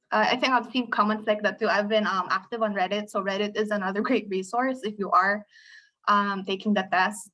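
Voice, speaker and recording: A woman's voice: mid-range voice, fairly bright tone, low-quality recording. A woman in her twenties speaking as a panelist, giving informal, conversational answers and correcting herself as she goes. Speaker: panelist, recent accounting graduate.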